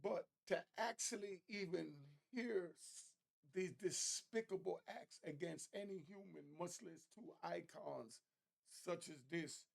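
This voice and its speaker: Gravelly, higher-pitched male commentator. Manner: colloquial, halting, emphatic and incredulous. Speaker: commentary channel host. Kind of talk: casual reaction commentary.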